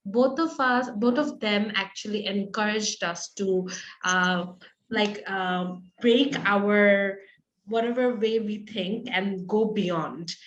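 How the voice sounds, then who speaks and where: A woman's voice: mid-range voice, slow, lo-fi sound. A woman with a Bangladeshi accent answering interview questions in a conversational style. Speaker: interview guest, advertising creative. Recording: echoey room.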